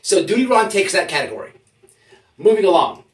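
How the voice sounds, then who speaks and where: A YouTube host giving mock-serious commentary in an emphatic, halting, mock-official way, often checking in with listeners. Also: medium pitch, animated. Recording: roomy echo, distant from the mic.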